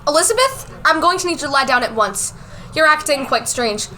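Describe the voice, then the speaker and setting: A woman acts out a school nurse who coos at her patients and bosses them around. Her voice is very high and bright, in radio drama style. There is a slight noise in the recording. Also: sing-song, fairly quick, heavily acted. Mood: stern, alarmed. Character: sugary but strict school nurse, a woman. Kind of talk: amateur radio drama acting.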